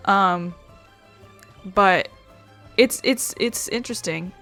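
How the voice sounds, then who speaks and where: A woman's voice: mid-range voice, slow pace, fairly bright tone, soft background music. A woman streamer giving casual gameplay commentary in a rambling, playful manner. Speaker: streamer playing a game.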